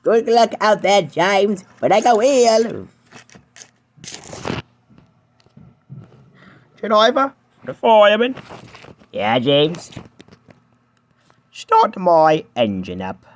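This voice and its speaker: A man voicing a touchy, hurt male steam engine in a fan-made cartoon dub, shaky and prone to yelling. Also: very animated, takes it slowly, heavily acted. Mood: nervous. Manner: shaky, high-strung, bursts into yelling.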